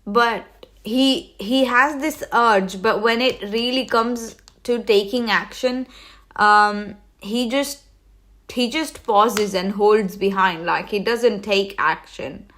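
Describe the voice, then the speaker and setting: Slow-talking woman reading tarot live. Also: medium pitch, a bit bright. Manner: rambling with many restarts and fillers. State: coming down with a cold. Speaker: tarot reader hosting a livestream.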